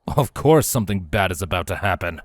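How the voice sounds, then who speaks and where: A gruff stallion captain, voiced by a man reading aloud, speaks in a low register with a deadpan, dryly mocking manner. The sound is close and dry, like a storybook told right at the mic. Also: brisk, dry recording.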